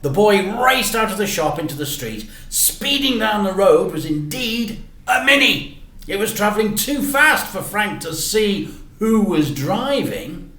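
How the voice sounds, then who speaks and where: A man reading a children's story aloud in an animated, deliberate way with dramatic emphasis. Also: high voice. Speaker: teacher reading stories to children. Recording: echoey room.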